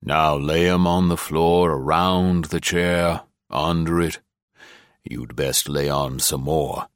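Man voicing a very deep, slow, menacing old farmer. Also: fairly even intonation, clean tone, dry recording, studio quality. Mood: stern, commanding. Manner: slow, measured rustic drawl. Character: menacing old farmer, slow, calm, grimly murderous grandfather. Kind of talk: audiobook character acting.

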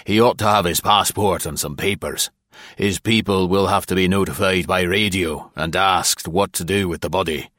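A burly, weather-beaten old sea captain who growls and snaps impatiently, as a deep-voiced male narrator puts him on. The voice is very deep and the recording is dry and close. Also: moderate pace, a bit bright, dry recording. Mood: gruff. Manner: gruff, snapping, impatient. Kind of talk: audiobook character voice.

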